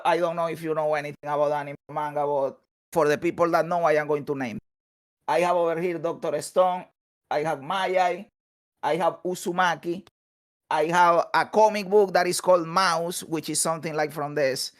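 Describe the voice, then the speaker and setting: A man in his mid-thirties joins over a video call as a guest. He talks in an enthusiastic, friendly way and keeps calling people dude and brother. His voice sits notably high for a man. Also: steady tone, moderate pace. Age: 30s.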